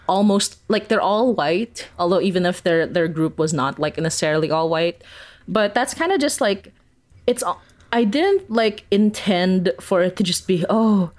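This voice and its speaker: Chatty, lower-voiced woman in interview. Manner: peppers talk with "like", chuckles while explaining. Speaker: interview guest, audio fiction creator. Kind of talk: casual, conversational interview answers.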